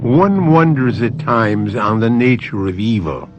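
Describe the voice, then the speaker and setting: An actor playing a brooding mystery theater host, narrating a radio drama in a deliberate, theatrical way. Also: male voice, medium pitch, expressive, muffled recording, loud music behind the voice, lightly acted. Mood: ominous.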